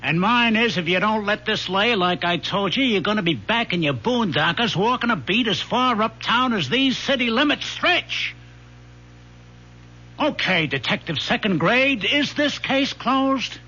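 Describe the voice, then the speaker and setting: A man's voice: high-pitched, expressive, unhurried, pushed and bright, heavily acted. An actor playing an overbearing, sarcastic male police lieutenant in an old radio drama, with emphatic delivery. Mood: sardonic, stern. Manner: sardonic, emphatic. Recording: muffled, hissy old radio recording.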